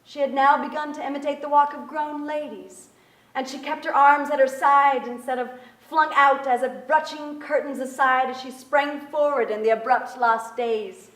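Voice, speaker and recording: Young wistful memoir narrator, highish voice, stage reading, echoey theater. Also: female voice, fairly even intonation, moderate pace, fairly bright tone, distant mic. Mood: reflective storytelling. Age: young adult. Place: large theater stage with audience.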